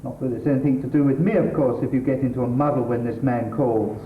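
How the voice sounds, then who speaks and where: A man's voice: medium pitch, steady tone, fast, close to an everyday voice. A man acting a sardonic, exasperated middle-class English husband who lectures his wife in a stage comedy. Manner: exasperated, pedantic, dry sarcasm. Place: theatre stage. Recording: distant, echoey, tinny stage recording, hissy.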